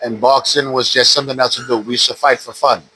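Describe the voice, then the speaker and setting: A man in his fifties from the Bahamas, a retired boxer calmly telling his story in an interview at a boxing gym. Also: medium pitch, quick pace, a little hiss or hum, poor audio. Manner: relaxed, steady storytelling with frequent "uh". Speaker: retired pro boxer, guest. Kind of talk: casual interview.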